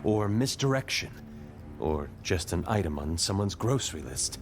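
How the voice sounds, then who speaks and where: Prickly, swooping ninja detective. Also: male voice, low-pitched, big pitch swings, moderate pace. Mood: suspicious, guarded. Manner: formal, guarded, earnest. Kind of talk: audio drama acting. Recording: music bed under the voice.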